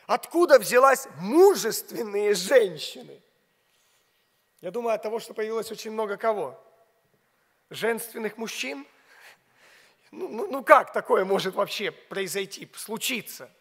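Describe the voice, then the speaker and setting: A man in his forties preaching a sermon from a pulpit in a large church. He speaks a language that is not his first, with emphatic rhetorical questions and words dropped to a hush. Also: high-pitched, lively intonation, unhurried, pauses often, slightly rough. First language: not Russian. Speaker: pastor. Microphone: pulpit mic. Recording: long hall reverb.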